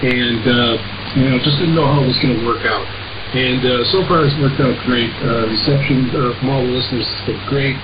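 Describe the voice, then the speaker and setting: A man hosting an informal shortwave radio broadcast, reading announcements in a halting way with frequent uhs and pauses. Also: medium pitch, steady tone. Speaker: radio host. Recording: hissy, muffled shortwave radio reception.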